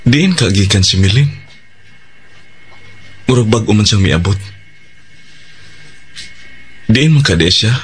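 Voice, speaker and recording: A man's voice: fairly low pitch, animated, slow, pauses often, soft background music. A man playing a genial family friend who also narrates in a Filipino Bisaya radio drama. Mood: reflective narration.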